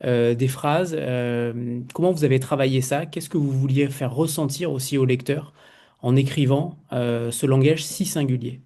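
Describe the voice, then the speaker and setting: A man's voice: medium pitch, steady tone, moderate pace, warm tone. A man hosting a literary interview over a video call, asking questions with frequent hesitations. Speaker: host.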